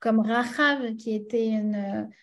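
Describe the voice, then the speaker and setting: A woman's voice: a little high, fairly even intonation, slow pace. A woman with a French accent teaching a religious class, chatty and full of fillers and restarts, often checking in with her listeners. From France.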